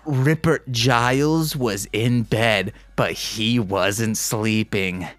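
A man's voice: on the low side, drawn-out words, a bit bright, dry recording. A man hosting a comedic podcast, reading fan fiction in a mock-sultry way and breaking off into self-mocking asides. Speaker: podcast host.

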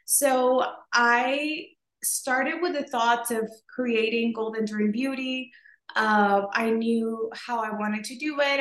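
A woman from Venezuela with a Venezuelan accent and a fairly high voice tells her story in a loose, chatty way. She fills her sentences with "like" and "um". The sound has a noticeable roomy echo. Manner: chatty, many fillers like "like" and "um". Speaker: beauty entrepreneur guest. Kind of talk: casual interview answers. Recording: echoey room.

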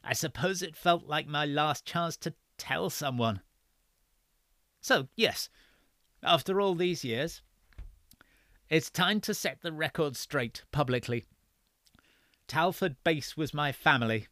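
A man reading the part of a frail, stubborn old man near death, speaking gruffly and insistently. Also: a little high, slow, pauses often, pushed and bright, no echo, clean studio sound, voice pushed up. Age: older.

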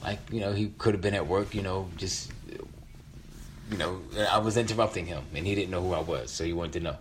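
Deep-voiced middle-aged man telling his story. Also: fairly quick, a little hiss or hum. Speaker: featured storyteller. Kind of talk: personal autobiographical storytelling.

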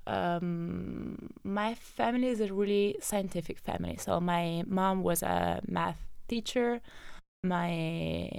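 A woman's voice: mid-range voice, gravelly, darkish tone, clear, resonant tone, dry recording, clean studio sound. A young woman from southern Italy with an Italian accent, chatting as a podcast guest and telling her story with frequent fillers and laughs. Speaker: guest, data scientist. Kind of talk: casual interview storytelling.

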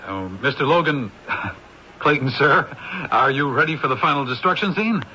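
An actor playing a brisk, bossy male film director, barking quick orders in a 1970s radio drama on a dull, lo-fi recording. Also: mid-range voice, expressive, pushed and bright, a little hiss or hum. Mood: commanding.

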